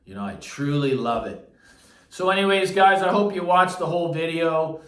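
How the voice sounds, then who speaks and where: A man's voice: fairly high pitch, normal speed. A man from Canada giving a casual, unscripted gear review as an enthusiastic vlogger, stressing his points by repeating them. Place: echoey listening room. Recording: roomy echo, distant microphone.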